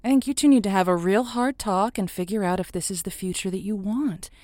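An actress playing an earnest romance advice host on a call-in radio show, reassuring and emphatic, drawing out key words. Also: female voice, medium pitch, brisk, warm tone, no room sound, clean studio sound, natural voice. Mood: earnest, encouraging. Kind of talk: semi-improvised audio comedy as radio advice show.

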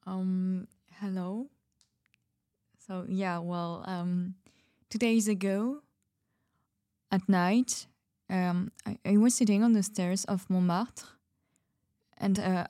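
Woman acting as dreamy, mellow-voiced young-hearted artist. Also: medium pitch, no room sound, studio quality, lightly acted. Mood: hesitant, wistful. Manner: hesitant, halting, musing. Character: Emile, dreamy hesitant female artist from closed community. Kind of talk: naturalistic radio drama interview.

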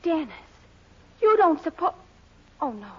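Very high-voiced, worried young American woman. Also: very animated, unhurried. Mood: worried, suspicious. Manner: earnest, dramatic, insistent. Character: worried, bossy young woman, a suspicious daughter. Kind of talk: 1940s radio sitcom acting. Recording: muffled 1940s radio recording, studio audience.

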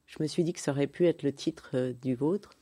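A woman's voice: low-pitched, brisk, dark tone. A woman hosting a literary radio interview, putting questions to her guest and presenting the programme.

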